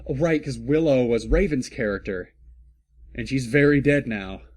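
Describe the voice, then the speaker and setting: Male host, comedic reader, slow delivery, exasperated asides and stumbles, mid-range voice, very dry sound. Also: mid-range voice, expressive, darkish tone, no echo. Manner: exasperated, stumbling over misspelled words. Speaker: host reading a fan fiction aloud. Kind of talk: comedic dramatic reading with asides.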